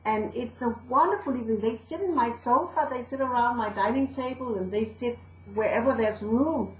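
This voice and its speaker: A woman's voice: fairly high pitch, moderate pace, reverberant, poor audio. A woman from Denmark with a Danish accent, a storyteller and educator chatting in an interview with fillers and restarts. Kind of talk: casual interview talk.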